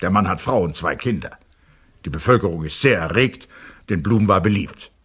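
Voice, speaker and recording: A stern letter writer in an audio drama. His low voice is husky, and he states his complaint formally, with indignant emphasis. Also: animated, moderate pace, a bit bright, heavily acted.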